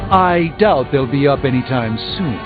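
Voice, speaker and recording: A man's voice: medium pitch, animated, moderate pace, close to an everyday voice. Guy doing pompous dry witty wizard from a video game, muffled tinny sound, music playing behind. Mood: businesslike. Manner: pompous, dryly witty, articulate. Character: erudite, haughty, wry male sorcerer. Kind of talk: video game character dialogue. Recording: muffled phone-like game audio under music.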